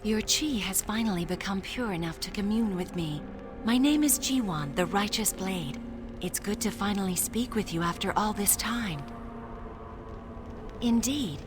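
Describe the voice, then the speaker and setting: An actress voicing the calm, solemn spirit of a dead sword master in a game. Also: female voice, mid-range voice, close to an everyday voice. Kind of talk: game cutscene voice acting. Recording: game audio with clear ambient noise.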